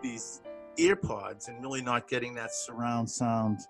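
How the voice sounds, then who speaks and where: A gravelly-voiced man in his sixties talks loosely over a video call, circling back on his words and chuckling. His pitch sits in an ordinary middle range, and faint music plays behind him. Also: steady tone, a little slow. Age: 60s. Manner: rambling, many restarts, chuckles often. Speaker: studio manager and bass player. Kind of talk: casual conversation on a video call.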